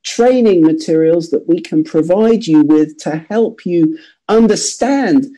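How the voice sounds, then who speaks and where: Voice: medium pitch, unhurried, dark tone, low-quality recording. A webinar presenter talking over slides in an emphatic, repetitive way, stressing points by saying words again. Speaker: webinar presenter for an editing service.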